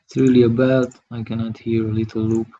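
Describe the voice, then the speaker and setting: A Hungarian man talks in English while he draws, his speech halting as he thinks aloud. His voice is mellow with a husky grain. The recording sounds dull and lo-fi. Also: medium pitch, level tone. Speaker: digital artist streaming his work. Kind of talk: live drawing tutorial commentary.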